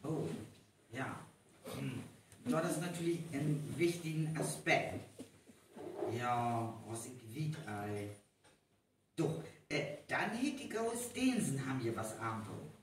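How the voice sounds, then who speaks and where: The sound is roomy and a little distant. A man plays a hesitant North Frisian villager in a live radio play, turning gossip over slowly and haltingly, with his accent riding an animated, lilting pitch. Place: live stage performance venue. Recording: echoey live hall, distant mic, faint noise.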